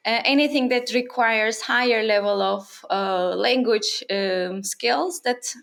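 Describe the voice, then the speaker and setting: A woman's voice: a little high, slow, creaky, a bit bright. A woman, a native Turkish speaker from Turkey, in her thirties, answering interview questions in a thoughtful, casual way, with fillers and restarts. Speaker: interview guest, freelancer. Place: her home on an island.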